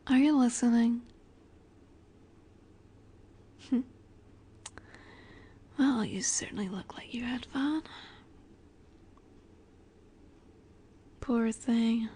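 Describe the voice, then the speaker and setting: A woman playing a seductive, possessive vampire in an intimate roleplay audio drama, teasing and coaxing in a soft, breathy voice that often slips into whispers. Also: fairly high pitch, steady tone, takes it slowly, long pauses. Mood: tender, soothing.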